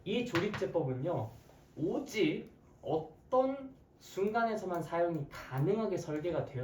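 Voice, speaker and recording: Hoarse, animated math teacher in an echoey room. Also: mid-range voice. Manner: playful, questioning, conversational. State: hoarse, frequent throat clearing. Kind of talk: interactive classroom lecture. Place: classroom with students. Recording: echoey, distant mic, background noise.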